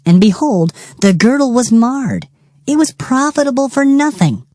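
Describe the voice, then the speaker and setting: A woman's voice: fairly high pitch, sing-song, normal speed, mellow, dry recording, clean studio sound. A woman narrating dramatized scripture in a solemn, declamatory manner. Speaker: Bible narrator.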